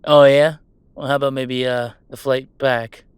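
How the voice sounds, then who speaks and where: A man's voice: medium pitch, flat delivery, a little slow, smooth, dry recording, natural voice. A man voicing a playful, self-deprecating werewolf streamer in a one-sided roleplay audio drama, full of teasing banter and dramatic sarcasm. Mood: fond, teasing. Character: wisecracking young male werewolf streamer, affectionate and self-deprecating.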